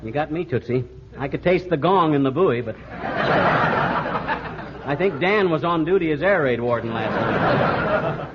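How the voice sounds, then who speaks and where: A man's voice: fairly high pitch, expressive, measured pace, long pauses, a loud crowd. A man acting a folksy, wisecracking, boastful husband in a 1940s radio comedy, full of slang and jokes. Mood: folksy, joking. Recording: muffled, noisy old radio recording.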